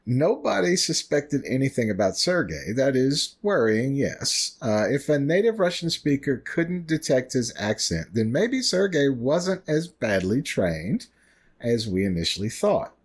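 A man co-hosts a podcast in a relaxed, conversational way, dropping dry and wry asides. His voice is husky, and his pitch swings widely as he talks. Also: a little slow. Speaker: podcast co-host. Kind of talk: casual podcast chat.

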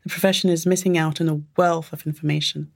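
A woman's voice: deep voice, little pitch movement, fast, gravelly. A woman whose first language is French, speaking English with a French accent, answering interview questions thoughtfully and often repeating words as she searches for them. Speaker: history professor, guest.

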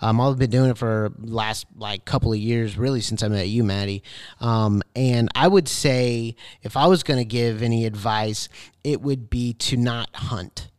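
A man's voice: mid-range voice, normal speed, clean tone, no echo, clean studio sound. A man hosting a podcast in a casual, loosely conversational way. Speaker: podcast host. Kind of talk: casual chat, reading listener questions.

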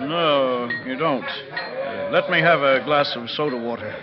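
An actor playing a timid, stiffly formal small-town banker in a classic radio Western. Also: male voice, mid-range voice, a little slow, over music, close to an everyday voice. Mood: uneasy. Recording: dull old radio recording, crowd noise.

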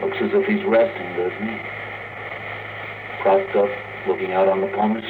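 A heavily hissy, thin old radio recording carries an actor playing a practical male spaceman. He is gruff and leads his crew in clipped 1950s radio drama style. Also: low voice, level tone, normal speed, close to an everyday voice. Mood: subdued. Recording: noisy, tinny old radio recording, distant.

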